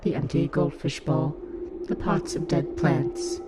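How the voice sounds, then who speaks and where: A performer in an audio play voicing an eerie fungal hive-mind, speaking in a slow, deliberate, menacing and coaxing way. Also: medium pitch, fairly even intonation, heavy background noise, lightly acted. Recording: loud music under the voice. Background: loud music.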